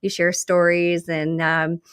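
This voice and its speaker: A woman's voice: lowish voice, monotone, takes it slowly, clear, resonant tone. Friendly woman podcast host. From Buffalo, USA. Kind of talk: casual interview chat.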